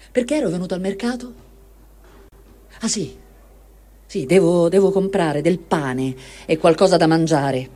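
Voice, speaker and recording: Woman giving a measured dramatic reading. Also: fairly low pitch, a little mellow. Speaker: reader of written testimony. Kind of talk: dramatic reading of a first-person war diary.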